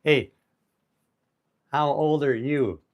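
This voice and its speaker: A man's voice: a little high, very slow, highs cut off. Upbeat man in his sixties, livestreaming. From United States. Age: 60s. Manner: upbeat, greets viewers and chats loosely. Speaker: livestream host. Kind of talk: casual motivational livestream. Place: outdoors on an island in heavy rain. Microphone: phone held in vertical mode.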